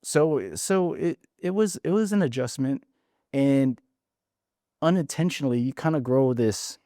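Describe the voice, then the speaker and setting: A man's voice: medium pitch, unhurried, dark tone, no echo, studio quality. A man from Hawaii in his forties, a podcast guest frankly telling his story and often restarting his words. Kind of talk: casual interview storytelling.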